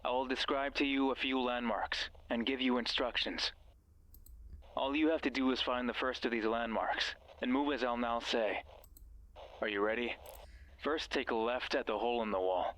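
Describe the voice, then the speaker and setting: A man's voice: mid-range voice, fairly even intonation, normal speed, fairly bright tone, lightly acted, low-quality recording. An actor playing a mysterious, bossy male guide in a horror game, stern, ominous and condescending. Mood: grave, instructing. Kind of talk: horror visual novel voice acting.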